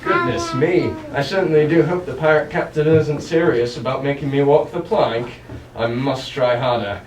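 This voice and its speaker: A man's voice: medium pitch, normal speed, a little hiss or hum, natural voice. A man playing an eager, timid young prince in a children's stage play, speaking in a prim, over-polite and hesitant way. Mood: anxious. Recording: echoey stage from far off.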